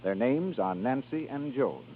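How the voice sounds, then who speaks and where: A man announcing in a scripted 1930s radio style, delivering continuity and sales pitches. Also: animated, unhurried, slight background noise. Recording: tinny 1930s radio recording.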